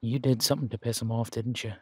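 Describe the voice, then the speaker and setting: A man playing a menacing, wry pirate captain in a roleplay audio, speaking in a taunting, deliberate drawl with dramatic pauses. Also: medium pitch, steady tone, a bit bright, slightly rough, no room sound. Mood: stern, probing. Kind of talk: scripted roleplay audio acting.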